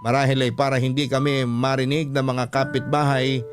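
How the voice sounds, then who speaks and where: A man's voice: mid-range voice, level tone, normal speed, over music. A man with a Filipino accent reading a scripted first-person story as a radio-style storyteller.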